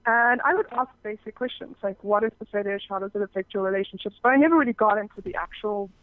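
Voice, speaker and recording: A woman's voice: medium pitch, quick pace, no echo. Chatty woman, muffled line. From South Africa. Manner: chatty, frank, many restarts. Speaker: guest, phone sex operator. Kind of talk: casual interview chat. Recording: dull muffled phone-like sound.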